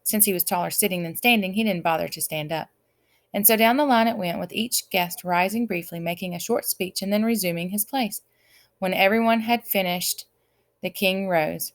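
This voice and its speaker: A woman reading a children's story aloud as an expressive narrator. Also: mid-range voice, normal speed. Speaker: audiobook reader.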